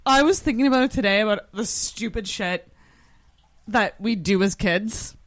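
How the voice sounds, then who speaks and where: A young woman from the Maritimes hosting a casual chat, asking curious questions and reacting with quick exclamations. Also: mid-range voice. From Maritimes, Canada.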